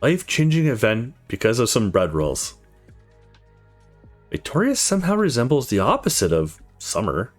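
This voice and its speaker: A man's voice: mid-range voice, wildly swooping pitch, moderate pace, soft background music. A man reading aloud as a brooding, introspective young visual novel protagonist, reflective and earnest. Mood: reflective, tender.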